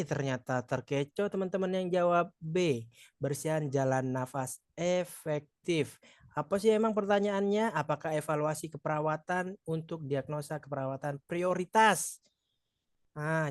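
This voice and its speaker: A man's voice: a little high, no room sound, clean studio sound. Chatty Madurese man tutoring online. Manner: chatty and encouraging, joking with participants. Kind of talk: live online exam practice session.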